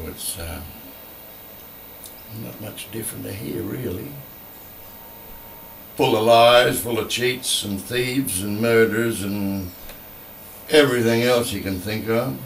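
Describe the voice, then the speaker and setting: An older man with an Australian accent and a croaky voice left over from flu, chatting bluntly and swearing freely in a small home room into a vocal mic on a stand. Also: on the low side, noisy background. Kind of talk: casual rambling monologue. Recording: roomy, from a distance.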